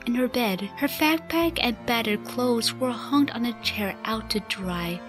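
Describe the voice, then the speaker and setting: Casting: female radio play narrator, higher-pitched, scripted storytelling over a clear music bed.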